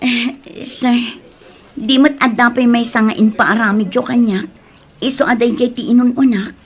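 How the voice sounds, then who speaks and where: An actress plays a put-upon adult daughter in an audio drama. Her voice sits a little high and carries an Ilocano accent, earnest and full of reproach. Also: steady tone, unhurried, breathy edge. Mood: defensive. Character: overburdened adult daughter and reproachful elder sister.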